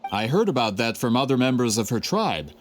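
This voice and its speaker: Actor as a formal, persuasive publisher, pitch swinging a lot; game dialogue. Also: male voice, big pitch swings, a little fast, faint music behind, close to an everyday voice. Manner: formal, courteous, salesman-like. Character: polished adult male book publisher, courteous, persuasive. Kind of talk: video game voice acting.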